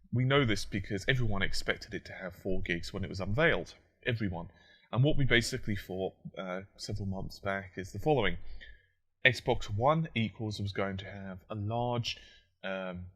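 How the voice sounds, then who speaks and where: A man with a croaky, just-woken voice, explaining technology in a solo monologue, with fillers and self-corrections. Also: on the low side, fairly bright tone. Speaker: tech commentator.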